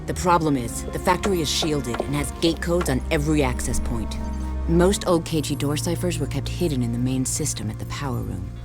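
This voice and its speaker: A stern, commanding military leader in a video game. The delivery is serious and businesslike, with clear music underneath. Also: male voice, on the high side, a little fast. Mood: grave briefing. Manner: authoritative, serious.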